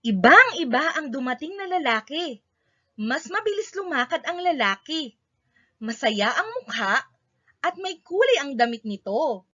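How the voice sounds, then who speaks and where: A woman's voice: sits high, sing-song, measured pace, bright, forceful tone. A woman with a Filipino accent reading a children's story aloud expressively, in short, emphatic sentences. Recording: dull, lo-fi recording.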